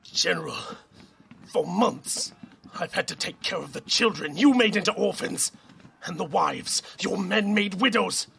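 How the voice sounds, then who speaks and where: An actor playing a resolute, weary army captain in an audio drama, speaking in an earnest, halting, strained way. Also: male voice, high-pitched, creaky, bright, forceful tone, slight background noise, full character voice. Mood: resolved.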